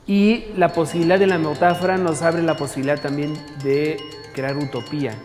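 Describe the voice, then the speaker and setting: A man's voice: on the high side, fairly even intonation, brisk. Man in an echoey hall with music. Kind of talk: reflective group talk.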